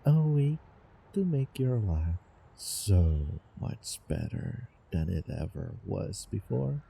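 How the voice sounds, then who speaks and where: A man playing a doting, obsessive young time traveler in love, in a theatrical romantic audio roleplay with halting, repeated words. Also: lowish voice, huge pitch swings, creaky, noisy background, heavily acted. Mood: reassuring, eager. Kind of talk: over-the-top romantic roleplay audio drama.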